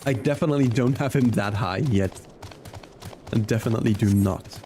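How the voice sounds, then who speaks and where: A man's voice: on the low side, fairly quick, dark tone, slight background noise. A man live-streaming a game, chatting casually and in a friendly way with his viewers while thinking aloud as he plays. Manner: friendly, talks directly to chat, thinks aloud. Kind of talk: casual chat and gameplay commentary for viewers.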